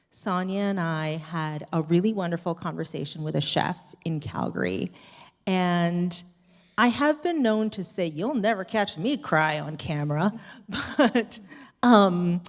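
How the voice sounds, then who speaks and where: A woman telling candid stories on a panel in an informal, conversational and enthusiastic way. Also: on the low side. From Canada. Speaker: series host on a Q&A panel. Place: theatre stage at a live screening Q&A. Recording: reverberant hall, stage microphone.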